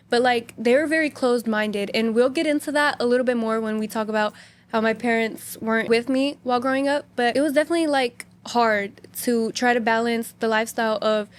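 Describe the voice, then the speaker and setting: A young American woman whose first language is Hindi, chatting as a podcast guest and filling her talk with "like". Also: a little high. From United States. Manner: chatty, many "like" fillers. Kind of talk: casual interview chat.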